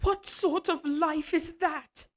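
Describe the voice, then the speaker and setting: A woman voicing an obsessively devoted, quietly menacing evil queen in an audio drama, speaking in a formal, measured, imperious way. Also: very high voice, fairly even intonation. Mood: insistent, possessive. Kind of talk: scripted dramatic audio roleplay.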